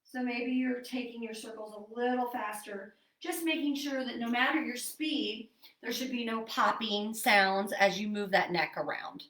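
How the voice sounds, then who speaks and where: A woman fitness instructor leading a seated online exercise class, chatty with fillers, then giving calm breathing cues. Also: on the high side, moderate pace. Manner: chatty and apologetic, with many fillers. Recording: roomy echo, distant from mic.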